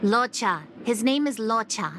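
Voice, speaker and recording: Dry, unhurried female assistant. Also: fairly high pitch, lively intonation, background noise. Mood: matter-of-fact. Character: put-upon, dry, matter-of-fact female assistant. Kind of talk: video game voice acting.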